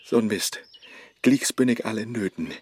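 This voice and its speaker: An actor playing a clumsy, lovestruck male scholar who chases a woman, speaking with a Low German accent in a bumbling, eager, theatrical way, in a radio play. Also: normal speed, background noise, lightly acted. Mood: flustered.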